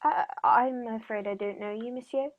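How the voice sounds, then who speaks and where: A woman playing a courteous, composed English male detective with a measured, formal manner in a radio play. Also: fairly high pitch, fairly even intonation, phone-quality sound, natural voice. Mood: polite. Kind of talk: 1940s radio play acting.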